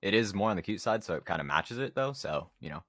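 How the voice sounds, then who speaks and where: Very deep-voiced young man, lively intonation. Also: quick pace, no echo, clean studio sound. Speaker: podcast co-host. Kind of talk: casual chat.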